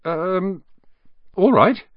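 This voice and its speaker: A man's voice: high voice, very slow, dry recording, highs cut off, voice pushed up. A man voicing a chatty, blunt, grumbling young boy with a British accent in an audiobook story. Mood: unsure.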